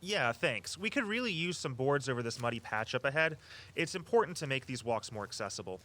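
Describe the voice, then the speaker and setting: An actor playing an eager, earnest walking-tour guide who tells local history with a storyteller's flair. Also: male voice, medium pitch, lively intonation, brisk, bright, forceful tone. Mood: casual, earnest. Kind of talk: audio drama dialogue, storytelling tour patter.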